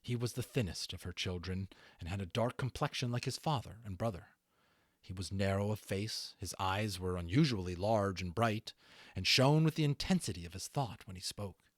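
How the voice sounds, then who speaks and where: A man narrating a children's book as an amateur reader, in a dramatic, deliberate storytelling style. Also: lowish voice, dry recording, studio quality.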